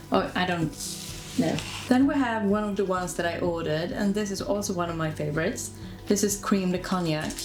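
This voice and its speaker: A woman's voice: mid-range voice, roomy sound. A Swedish woman, whose first language is Swedish, chatting casually with a Swedish accent as she shows things to the camera, filling her talk with "like". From Sweden. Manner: chatty, fillers of "like", self-deprecating. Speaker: vlogger. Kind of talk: casual show-and-tell narration.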